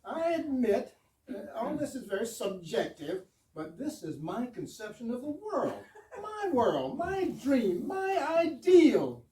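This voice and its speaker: A woman's voice: on the high side, wildly swooping pitch, slow pace, dark tone, full character voice. A woman playing an earnest, self-important fire chief in an amateur readers theater absurdist comedy, speaking formally and declaiming. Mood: grandly earnest. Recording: roomy, distant microphone.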